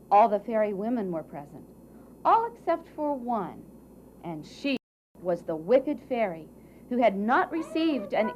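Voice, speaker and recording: High-voiced, animated woman storyteller. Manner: theatrical, deliberate, coaxing audience questions. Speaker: puppet show storyteller. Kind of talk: expressive live storytelling for children. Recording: dull, faintly noisy live recording.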